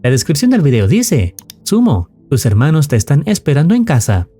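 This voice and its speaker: A man giving scripted narration of a horror story recap in a formal, measured storytelling manner. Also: fairly high pitch, huge pitch swings, mellow, breathy edge. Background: music.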